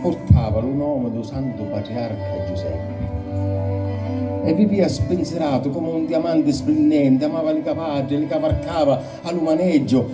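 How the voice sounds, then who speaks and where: A man's voice: on the high side, moderate pace, recorded from a distance, over music. A man with a Sicilian accent giving a solemn, declamatory recitation of a dramatic poem. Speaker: poetry reciter.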